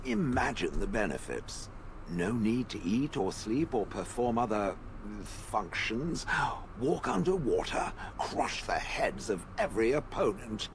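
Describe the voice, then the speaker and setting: An actor playing a sardonic stone golem from a fantasy video game, haughty and contemptuous of people. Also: male voice, fairly low pitch, big pitch swings, slight background noise. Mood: smug, disdainful. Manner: haughty, sardonic, deliberate phrasing.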